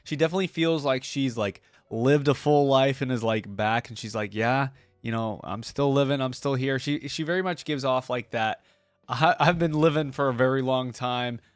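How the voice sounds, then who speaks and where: A man's voice: medium pitch, moderate pace, clear, resonant tone, dry recording. A man giving casual, enthusiastic reaction commentary as a video host, often musing aloud.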